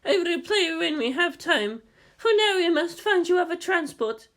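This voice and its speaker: A man voicing a timid, guilt-ridden Buddhist nun who speaks slowly, formally and apologetically in an audiobook-style story reading. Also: extremely high pitch, animated, sharp tone, pitched above the performer's own voice, exaggerated voice. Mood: mournful, contrite. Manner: slow, formal, apologetic, stammering.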